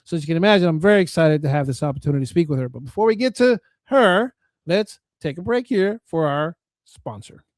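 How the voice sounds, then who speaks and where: A man's voice: a little high, animated, fast talker, dry recording, studio quality. A man hosting an interview show, talking earnestly and often restarting mid-sentence. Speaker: host. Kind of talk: interview and sponsor talk.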